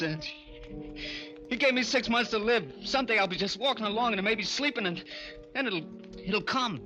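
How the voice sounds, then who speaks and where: An actor playing a nervous, timid young American small-time crook in an old-time radio crime drama, hesitant and slangy. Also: male voice, high voice, rough recording. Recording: vintage radio recording with music behind.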